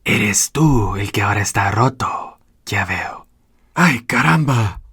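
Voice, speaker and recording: A man voicing a broken, sinister, eerily playful old rabbit animatronic in a video game, with a husky, rasping voice. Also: lowish voice, expressive, measured pace, a bit bright, no echo, clean studio sound, heavily acted. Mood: eerie, gloating. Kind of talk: video game character voice lines.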